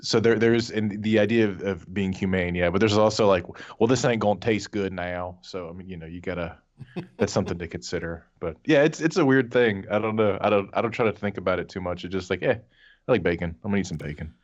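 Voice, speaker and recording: A man's voice: deep voice, fast talker, muffled recording. A man chatting casually as a podcast panelist, rambling and wry, with some swearing. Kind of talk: casual chat.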